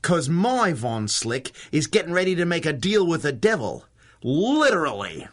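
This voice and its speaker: Brash wisecracking aussie war hero in an old radio serial, highish voice bouncing all over. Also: male voice, wildly swooping pitch, moderate pace. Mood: grim, urgent. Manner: wisecracking, slangy, sarcastic. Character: brash, frantic wartime male hero from another universe. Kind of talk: 1930s radio adventure serial acting.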